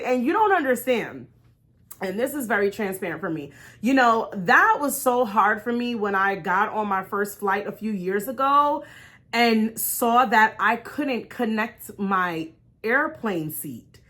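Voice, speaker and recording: A woman's voice: on the high side, bright, forceful tone. An American woman vlogging in a candid, casual storytime style, talking in an emphatic, encouraging, conversational way. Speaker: vlog host.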